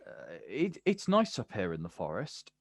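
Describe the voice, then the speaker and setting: Animated, probing male poet. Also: on the low side, normal speed, husky, dry recording, exaggerated voice. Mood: awkward, gentle. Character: famous male poet, watchful, probing, quietly wounded. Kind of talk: naturalistic stage play acting.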